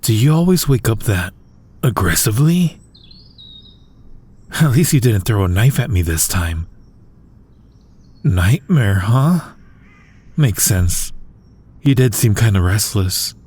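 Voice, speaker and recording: A man playing a supervillain who gently cares for his hero nemesis in an ASMR audio roleplay, soft-spoken, dryly teasing and reassuring. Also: on the low side, big pitch swings, slow pace, pauses often, slightly rough, exaggerated voice. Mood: teasing, caring.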